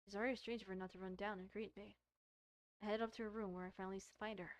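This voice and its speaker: A woman streamer reading a visual novel aloud and commenting on it, haltingly, often repeating and rereading phrases. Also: mid-range voice, fairly even intonation, no echo. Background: soft music.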